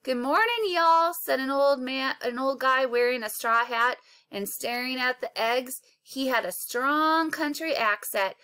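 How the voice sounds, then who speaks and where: Woman, teacher read-aloud, children's novel narration, high pitch, unhurried pace, dry sound. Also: sharp tone, clean tone, no room sound. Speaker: elementary teacher reading aloud.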